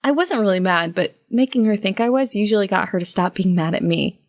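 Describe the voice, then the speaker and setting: A woman's voice: mid-range voice, animated, fairly quick. A woman reading first-person fiction as narrator, in a wry, conversational manner.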